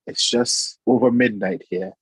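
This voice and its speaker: A man's voice: medium pitch, fairly even intonation, unhurried, husky, no room sound. A man on a late-night video call panel, speaking earnestly and reflectively, a little sleepy. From Saint Vincent. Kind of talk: online panel discussion.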